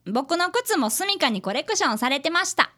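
Bright, high-voiced earnest boy detective played by a woman. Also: moderate pace, full character voice. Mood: earnest reporting. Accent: Kansai Japanese. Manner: polite, earnest, animated. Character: earnest fifth-grade boy, eager apprentice detective. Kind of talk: radio drama acting and narration.

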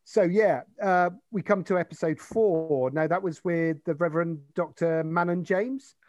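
A man's voice: fairly high pitch, unhurried, a little mellow, no room sound. Hesitant man steering a podcast chat. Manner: brief prompts, hesitant with fillers and repeats. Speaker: podcast producer and co-host. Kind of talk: casual conversational podcast chat.

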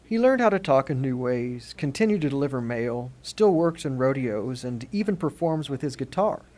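A man hosting a podcast, reading scripted documentary narration in a measured, expressive way. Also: mid-range voice.

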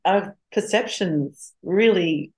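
A woman's voice: on the low side, takes it slowly, darkish tone. A middle-aged woman with an Australian accent, speaking frankly and reflectively as an interview guest. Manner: frank and reflective, laughs easily. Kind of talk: candid interview conversation.

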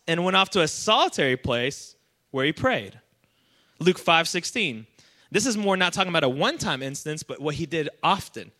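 Bright-voiced male pastor, slightly high, energetic and chatty, asks the crowd for responses. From Iran. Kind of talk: sermon to a young adult congregation.

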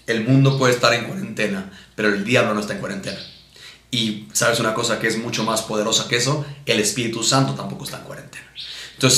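A man's voice: lowish voice, a bit bright. A man with a Mexican accent teaching a sermon in a didactic way, repeating his points and checking in with rhetorical questions. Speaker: pastor teaching at a missions conference. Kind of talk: teaching sermon with Bible readings. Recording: roomy, echoing sound.

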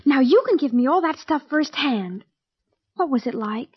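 A woman's voice: high voice, expressive, normal speed. An actress playing an eager, naive young student daughter, girlish and affectionate, in a mid-century radio drama. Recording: dull lo-fi old radio recording.

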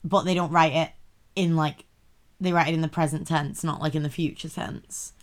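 Low-voiced playful woman in her twenties. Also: fairly even intonation, moderate pace. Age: 20s. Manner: playful, swears casually, teasing. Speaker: podcast co-host. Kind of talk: casual chat.

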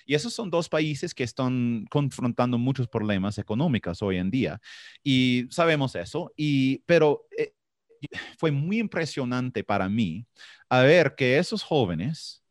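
A man from Florida with an American accent and English as his first language, answering interview questions haltingly as he searches for words in a second language. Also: medium pitch, no room sound, studio quality. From Florida, United States. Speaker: podcast guest.

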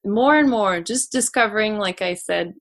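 A woman's voice: medium pitch, lively intonation, moderate pace. Casual female podcast guest; hesitant, restarting often. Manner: hesitant, many restarts and laughs. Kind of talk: casual conversation.

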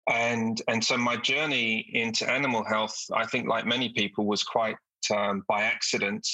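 A middle-aged British man from the UK, a company executive speaking as a podcast guest, measured with frequent hesitations. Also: on the low side, level tone, pushed and bright, no echo, poor audio. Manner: measured, with frequent ums and uhs. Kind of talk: podcast interview.

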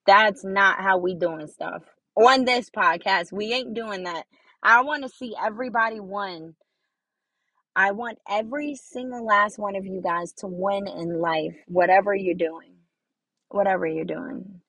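A young woman with a high voice hosting her own podcast, talking casually and repeating phrases for emphasis as she encourages listeners. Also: a little slow, fairly bright tone, dry recording, rough recording. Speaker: podcast host. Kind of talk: casual motivational monologue.

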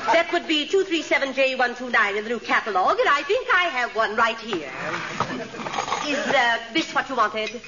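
Actress as efficient, fairly high-voiced shop manager, muffled radio. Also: female voice, animated, normal speed, over a noisy crowd, heavy background noise, lightly acted. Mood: businesslike. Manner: crisp, businesslike, matter-of-fact. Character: efficient female hardware store manager, politely technical. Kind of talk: 1940s radio comedy acting. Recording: dull 1940s radio recording, audience behind.

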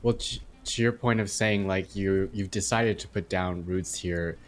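A man co-hosting a podcast, asking questions carefully and gently. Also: low voice, steady tone, a little slow. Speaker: podcast co-host. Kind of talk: casual interview.

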